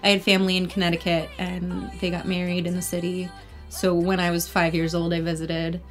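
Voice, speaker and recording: A woman's voice: fairly low pitch, completely flat delivery, a little fast, faint music behind. A young American woman, an actor, chatting casually as an interview guest. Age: young adult. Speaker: actor and singer being interviewed.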